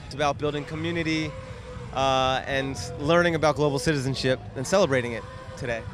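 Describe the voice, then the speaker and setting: A man's voice: medium pitch, fairly even intonation, fairly quick. A man from a youth organization explaining its work with enthusiasm at an outdoor park event. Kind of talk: on-site interview. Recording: loud music outdoors.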